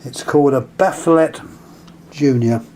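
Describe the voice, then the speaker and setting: A British man giving a casual hands-on demonstration, speaking haltingly and pausing mid-phrase as he handles things. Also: a little high, a little mellow, slight background noise. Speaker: vintage radio collector presenting an item. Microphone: handheld camera, close to the item.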